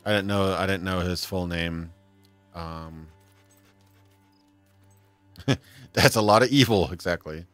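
A man with a low, deep voice talks easily through a livestreamed game, chuckling often and answering his chat between moves. Soft game music runs underneath him from a home setup. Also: slow pace, pauses often, dry recording. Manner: chatty, laughs often, reads chat aloud. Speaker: livestream gamer. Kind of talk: casual let's-play chat. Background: faint game music.

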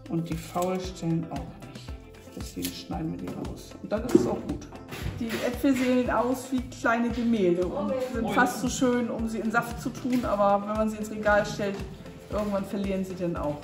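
A woman's voice: medium pitch, moderate pace, distant mic. A middle-aged woman, a juice maker, explaining her work in a casual interview. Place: small tiled juice-pressing workroom indoors. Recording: echoey tiled room, off-mic, faint music.

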